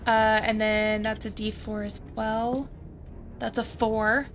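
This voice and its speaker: A woman's voice: on the high side, level tone, slow, soft background music. A woman playing a tabletop roleplaying game, thinking aloud and casually narrating what her characters do.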